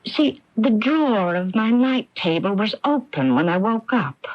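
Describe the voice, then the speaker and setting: An actress playing an anxious, timid middle-aged sister in a radio mystery drama, speaking in a fretful, halting, stammering way. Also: female voice, mid-range voice, lively intonation, natural voice. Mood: fretful, frightened. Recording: dull lo-fi old radio tape.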